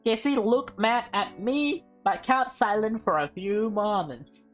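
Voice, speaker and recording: Expressive woman reading a story over music. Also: medium pitch, a little slow. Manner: expressive, playful, acts out dialogue. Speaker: gaming video host reading a visual novel aloud. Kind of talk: dramatic read-aloud with casual asides.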